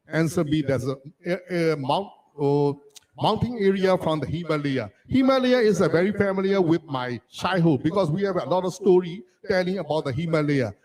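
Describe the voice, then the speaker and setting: A man from Myanmar whose first language is Arakanese, telling a childhood story as a panelist in halting, restarting English. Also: fairly high pitch, poor audio. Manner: halting, restarts sentences, non-native phrasing. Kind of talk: personal story at a panel discussion.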